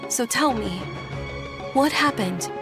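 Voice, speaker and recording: An actress playing a gentle, attentive young noblewoman in a video game, polite and articulate. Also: sits high, animated, extremely slow, vocal fry, heavily acted. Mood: anxious. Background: music.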